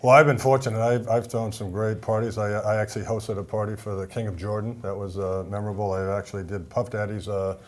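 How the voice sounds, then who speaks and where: A man with a Boston accent talks quickly in a rambling, filler-heavy way. His voice sits low. He is chatting as a guest on a talk show. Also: level tone, slight background noise. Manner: rambling, many fillers and restarts. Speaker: event center owner, guest. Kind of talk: casual TV talk show interview.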